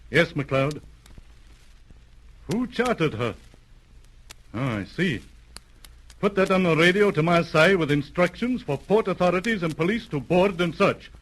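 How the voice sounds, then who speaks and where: An actor playing a determined British police superintendent in an old radio drama, clipped and authoritative. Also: male voice, on the high side, fairly bright tone. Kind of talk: 1930s-40s radio drama acting. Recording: noisy, clicking vintage radio recording.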